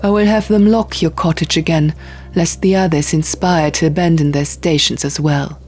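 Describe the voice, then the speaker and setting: A woman voicing a stern, cold abbess who scolds in a quiet, measured, condescending way. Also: sits low, fairly even intonation, slightly rough, soft background music. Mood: coolly dismissive.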